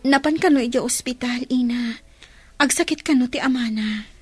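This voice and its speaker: A woman's voice: fairly high pitch, normal speed, lightly acted. A woman with an Ilocano accent playing a concerned, respectful young daughter in a Filipino radio drama.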